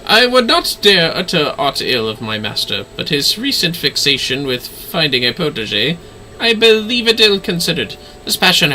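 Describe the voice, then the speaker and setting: A man plays a dutiful fantasy-game manservant who carries a sword. He sounds grave, courtly and earnest, with a very bright, forceful voice. His phrasing is measured and formal. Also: a little high, a little hiss or hum, heavily acted, rough recording.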